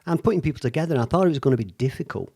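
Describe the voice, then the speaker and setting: A man's voice: on the high side, lively intonation, racing, vocal fry, mellow. A man from England hosting a casual video podcast chat, laughing often as he talks. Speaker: podcast host. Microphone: video podcast mic.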